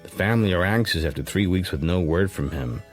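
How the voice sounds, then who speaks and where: An actor playing a shrewd, suspicious middle-aged man who narrates his private thoughts in a measured, dry, musing way for a radio drama. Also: sits low, animated, faint music behind. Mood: wry musing. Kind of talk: intimate first-person radio drama narration.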